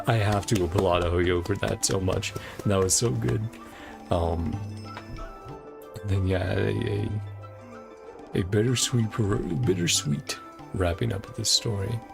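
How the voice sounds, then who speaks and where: A man's voice: on the low side, gravelly. A tired man chatting casually as a game streamer wrapping up, rambling and hesitant in an informal way. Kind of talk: casual stream outro chat. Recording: music playing behind voice. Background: music.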